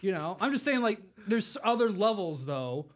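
A man's voice: sits high, big pitch swings, normal speed, slight background noise. A man co-hosting a podcast with casual banter. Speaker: co-host.

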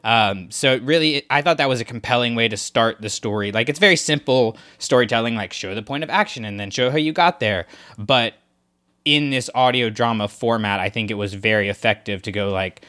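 Bright-voiced man chatting about books. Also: mid-range voice, a little fast. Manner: chatty, many fillers and restarts. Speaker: podcast co-host. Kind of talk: casual discussion of a book.

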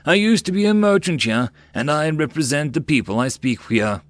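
A man's voice: a little high, lively intonation, normal speed, no room sound, studio quality, voice pushed up, close to an everyday voice. A man voicing a lanky, desperate middle-aged male merchant who pants and stammers as he pleads.

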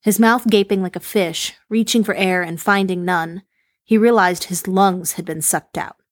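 A woman reading a short horror story aloud in steady, measured narration. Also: medium pitch, no echo, studio quality. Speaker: story reader.

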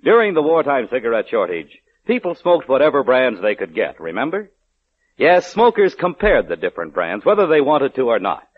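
A man's voice: medium pitch, very animated, a little fast, slightly rough. A man reading a scripted advertisement as a 1940s radio announcer. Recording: muffled old radio recording.

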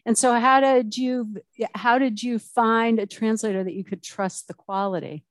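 A woman's voice: fairly high pitch, fairly even intonation, normal speed, no room sound. A woman hosting an interview podcast, asking curious, friendly questions. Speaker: podcast host.

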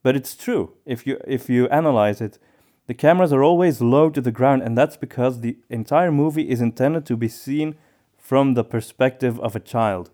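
A man with a soft, mellow, darker tone and a medium pitch, co-hosting a podcast. He muses reflectively in casual film discussion, sometimes repeating a word while thinking. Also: normal speed. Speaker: podcast co-host.

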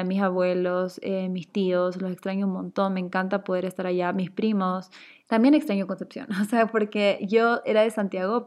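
A woman's voice: mid-range voice, a little mellow. A young woman from Chile with a mild Chilean accent mixed with a neutral one, chatting casually as she answers viewer questions, rambling with fillers and self-corrections. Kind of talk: casual chat.

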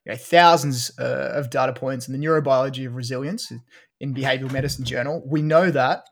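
A man's voice: medium pitch, fairly quick, gravelly. A man with an Australian accent, co-hosting a casual science podcast and explaining research with frequent fillers. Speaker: podcast co-host.

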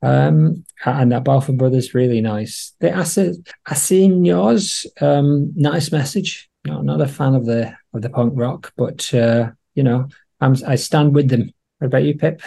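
A man with a creaky, dark-toned voice of middling pitch hosts a casual music discussion. He is informal and jokey as he comments and hands over to others. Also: a little slow. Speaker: podcast host. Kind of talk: casual chat about music.

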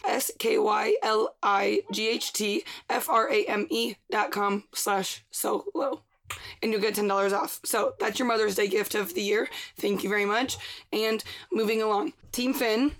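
A raspy-voiced woman hosts a casual podcast. She talks quickly, piling up fillers and restarts, and drifts into a sponsor read. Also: mid-range voice, fairly even intonation. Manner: fast-talking, filler-heavy. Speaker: podcast host.

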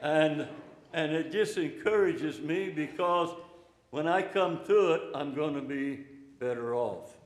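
An older man preaching an informal, encouraging sermon in a slow, plainspoken way. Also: a little high. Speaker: pastor. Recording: echoey room, hall reverb.

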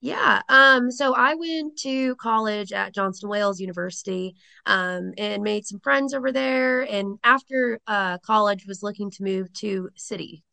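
Woman in her late twenties, Rhode Island accent with a faint Southern lilt, loose chatty guest full of ums, crisp bright tone with a little vocal fry. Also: mid-range voice. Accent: Rhode Island with a slight Southern tinge. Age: late 20s. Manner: chatty, with frequent ums and laughs. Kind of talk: casual interview conversation.

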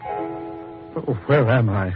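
A man's voice: mid-range voice, very slow, over music. A man playing a damned wrongdoer, agitated and pleading in a melodramatic old radio drama. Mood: bewildered. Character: desperate wicked man newly damned, pleading. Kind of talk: vintage radio drama acting. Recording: muffled, noisy old radio recording.

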